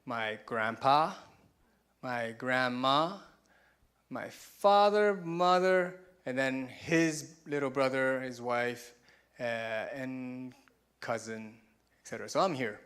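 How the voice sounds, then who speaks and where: A man's voice: medium pitch, slow, a bit bright, clear, resonant tone. A man in his forties from Taiwan gives a chatty, self-deprecating conference talk with slides and chuckles at his own jokes. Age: 40s. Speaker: conference speaker. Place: stage in a conference room.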